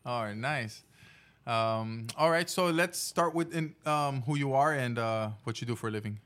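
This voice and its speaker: A man's voice: mid-range voice, moderate pace, a bit bright. A young man hosting a podcast, asking questions casually, hesitant, with many fillers and restarts. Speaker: podcast host. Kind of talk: casual interview.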